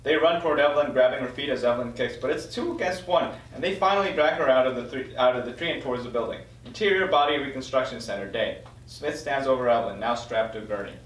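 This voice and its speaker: A man's voice: mid-range voice, quick pace, a bit bright. A man reading stage directions as scripted narration at a table read of a screenplay. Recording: roomy echo, distant microphone.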